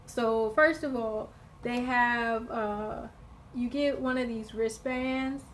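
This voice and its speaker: Slightly hoarse chatty woman vlogging. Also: a little high, fairly even intonation, takes it slowly, a little hiss or hum. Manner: chatty, rambling, many fillers and restarts. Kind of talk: casual recap vlog.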